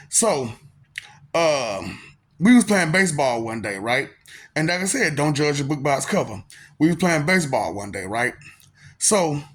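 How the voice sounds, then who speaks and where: A man in his thirties speaks in a soft, polite-sounding voice, pitched a little higher than most men. He tells a personal story in a laid-back, slangy way, circling back with fillers and breaking into laughs along the way. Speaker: vlogger telling a personal story. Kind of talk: casual storytelling monologue.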